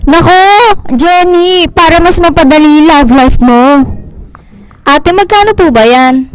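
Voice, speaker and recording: Girl acting a cheeky excitable filipino lady, high voice, over the top radio comedy. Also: slow pace, slight background noise, poor audio. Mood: whiny. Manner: playful, exaggerated. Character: cheeky, excitable young women and batty fortune tellers.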